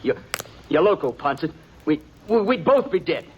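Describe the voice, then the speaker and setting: A man's voice: sing-song, normal speed, slight background noise. An actor playing a jittery young gunslinger who stammers as his voice climbs in panic, in a 1950s radio drama. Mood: panicked. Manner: stammering, voice jumps high with fear. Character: jittery young gunslinger man, boastful, cracking under pressure. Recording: muffled old radio recording.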